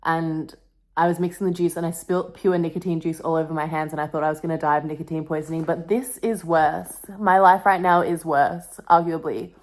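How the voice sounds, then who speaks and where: A woman's voice: low voice, steady tone, a little fast, a little mellow. A young woman vlogging in a casual, confessional way, with a melodramatic, wry and self-mocking manner. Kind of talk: casual confessional vlog with dramatic book reading.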